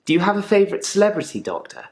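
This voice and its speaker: An actor playing a controlled, quietly menacing therapy patient in a game drama, speaking in a measured, deliberate and politely probing way. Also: male voice, high-pitched, huge pitch swings, echoey room, exaggerated voice. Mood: quietly eerie. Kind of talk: live-action game drama monologue.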